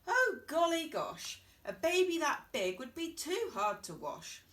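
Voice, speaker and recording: Woman voicing a flustered, high-pitched storybook mother hen. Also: huge pitch swings, measured pace, fairly bright tone, recorded from a distance, heavily acted. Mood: aghast. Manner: dramatic, exclaiming, fretful. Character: anxious, easily upset mother hen.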